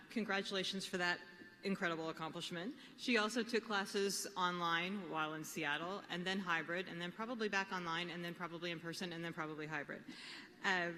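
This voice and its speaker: A woman at a graduation ceremony introduces the next student speaker, lightly joking, with a few fillers. Her voice is a little low but bright and forceful. It rings out in a long-echoing hall over a faint room hum. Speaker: faculty presenter introducing speakers. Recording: reverberant hall with faint ambience.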